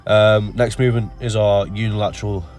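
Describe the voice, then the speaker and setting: A man with a nasal voice from a cold, explaining his gym workout in a relaxed voice-over full of ums. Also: on the low side, a little fast, soft background music. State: has a cold. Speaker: bodybuilder narrating his workout. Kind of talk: casual voice-over commentary.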